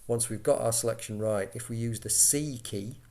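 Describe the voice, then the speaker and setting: A man giving an informal software walkthrough, explaining step by step in a conversational way as an instructor. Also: mid-range voice, moderate pace.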